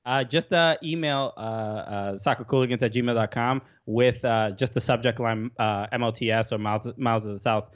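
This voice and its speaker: A man's voice: medium pitch, moderate pace, few pauses. Hesitant man with muffled sound. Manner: hesitant, lots of uh fillers. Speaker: podcast guest. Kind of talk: casual podcast chat.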